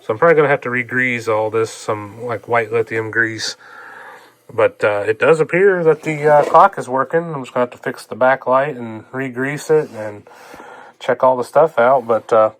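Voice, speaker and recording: A man's voice: mid-range voice, normal speed, low-quality recording. A man gives an informal, folksy hands-on repair demonstration, thinking aloud as he works. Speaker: hobbyist repair vlogger. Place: indoors at a repair workbench. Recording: clear steady background noise.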